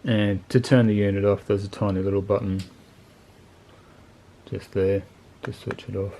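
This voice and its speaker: A man with a lowish voice talks through a piece of gear off the cuff. His delivery is very monotone and laid-back, with plain, colloquial phrasing. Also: moderate pace, gravelly, a little hiss or hum. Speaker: motorcycle video channel host reviewing gear. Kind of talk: casual unscripted product review.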